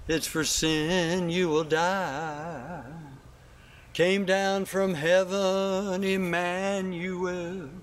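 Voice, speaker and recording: A man playing an old cowboy with a drawl, folksy and quick to exclaim. His voice sits a touch high for a man. A faint hum of noise runs under the stage sketch. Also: natural voice. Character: flustered folksy old cowboy, new father. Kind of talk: one-man comic sketch with sung lullaby.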